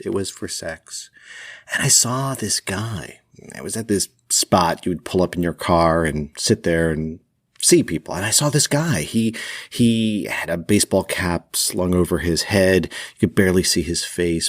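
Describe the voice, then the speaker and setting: Low-voiced man telling a personal story. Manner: deliberate, dramatic pauses. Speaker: podcast host and storyteller.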